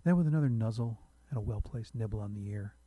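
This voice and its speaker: A man's voice: on the low side, fast talker, slightly rough, no room sound, narrow, tinny audio. A man reading a literary short story aloud as a narrator, close to the microphone.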